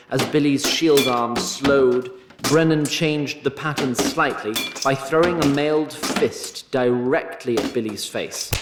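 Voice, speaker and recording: A man narrating a scripted fantasy story in an expressive storytelling manner, with a roomy sound on his voice. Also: mid-range voice, background noise, natural voice. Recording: roomy echo on voice.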